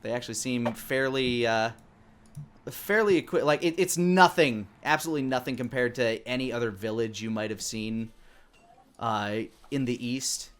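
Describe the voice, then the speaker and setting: A man narrating a tabletop game as dungeon master, improvising and pausing often to search for words. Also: medium pitch, clear, resonant tone.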